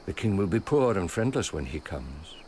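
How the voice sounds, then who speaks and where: An actor playing a devout, shrewd old merchant and loyal steward in a radio drama, speaking in an earnest, measured way. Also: male voice, sits low, very animated, a little mellow. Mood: fervent. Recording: radio drama with faint background noise.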